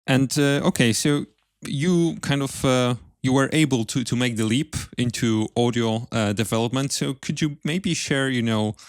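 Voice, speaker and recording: A man's voice: medium pitch, normal speed, few pauses, dry recording, clean studio sound. A man hosting a podcast interview, asking casual questions in agreeable, slightly hesitant phrasing.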